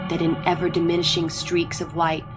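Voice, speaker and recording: A woman with a slightly low voice gives a dramatic reading of vintage science fiction. She narrates in formal, literary sentences, with faint music underneath. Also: flat delivery, a little fast.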